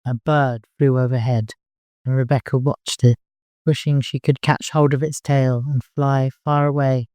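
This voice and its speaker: An audiobook narrator with a middle-pitched voice reads dramatic fiction. The recording is close and very dry. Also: little pitch movement, a little slow, dark tone, dry recording. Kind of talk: scripted dramatic story narration.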